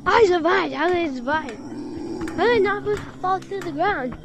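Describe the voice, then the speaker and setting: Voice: extremely high pitch, expressive, a little slow, noisy background. A player chatting casually in a VR game, with playful, exclamatory role-play. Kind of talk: casual chat while playing a VR game.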